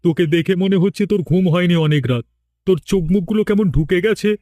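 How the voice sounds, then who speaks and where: A simple, fretful villager in a horror cartoon played by a man. The voice is fairly high and dry, plain-spoken with a scolding edge. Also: quick pace, warm tone, no echo, natural voice. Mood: puzzled.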